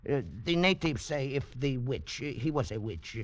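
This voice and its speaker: An actor playing a shifty, callous trader, hesitant and offhand, in old-time radio style. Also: male voice, mid-range voice, lively intonation, moderate pace, close to an everyday voice. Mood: offhand, fussy. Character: shifty, chatty Portuguese trader, fussy and callous. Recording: dull vintage radio recording.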